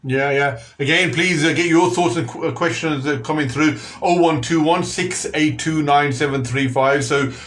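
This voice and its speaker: A middle-aged man hosting a community radio phone-in, who talks in halting bursts full of fillers and restarts. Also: a little high, a bit bright. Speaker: radio show host.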